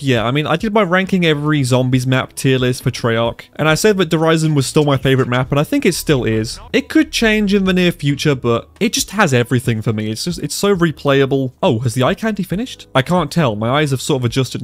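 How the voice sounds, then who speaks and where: Excitable man, stuffy with a cold. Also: mid-range voice. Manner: excitable, reacts aloud to the game. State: congested from a cold. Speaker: gaming YouTuber. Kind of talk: casual gameplay commentary.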